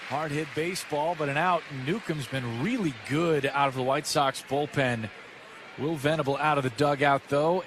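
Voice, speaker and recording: A man with an American accent calling a baseball game live as a radio play-by-play announcer. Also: on the high side. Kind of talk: live radio sports commentary. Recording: clear crowd and ballpark noise behind. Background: outdoor ballpark ambience.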